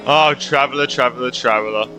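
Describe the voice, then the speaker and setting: A young man giving casual, rambling live reactions while playing a video game, excitable and giggly. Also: mid-range voice, a little fast, over music, low-quality recording. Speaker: gaming streamer.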